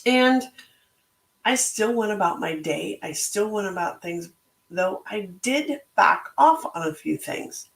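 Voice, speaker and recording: A middle-aged woman speaks slowly and deliberately, her voice slightly hoarse with a gravelly edge. She talks in a thoughtful, motivational manner, as if reflecting aloud. The room around her is echoey. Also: mid-range voice, lively intonation. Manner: slow, thoughtful, motivational delivery. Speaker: podcast host and book club organizer. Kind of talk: reflective solo talk.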